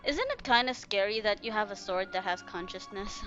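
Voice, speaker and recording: A woman's voice: mid-range voice, a little fast, lo-fi sound. A woman giving casual reaction commentary as she streams a game. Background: game music.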